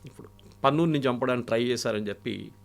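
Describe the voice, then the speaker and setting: An Indian man speaks as a guest analyst, with a noticeably creaky voice at a middling pitch. He lays out political stories and anecdotes, moving back and forth between Telugu and English. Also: quick pace. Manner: mixes English phrases into Telugu.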